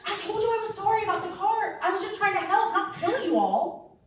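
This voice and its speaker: High, animated, exasperated girl acted by a woman, echoey. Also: a little fast, slight background noise. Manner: exasperated, earnest. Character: well-meaning college girl pushed past her patience. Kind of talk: staged play reading. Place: echoey indoor room, far mic. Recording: distant, echoey room.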